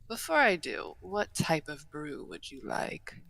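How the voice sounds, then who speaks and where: Woman as a low-voiced, unhurried, genteel half-dragon lady. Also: sing-song, gravelly, a bit bright, slight background noise, exaggerated voice, rough recording. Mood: hospitable, gracious. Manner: genteel, formal, unhurried wording with dry teasing. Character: courteous, refined female half-dragon hostess, humanoid. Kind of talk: fantasy roleplay audio acting, addressing the listener.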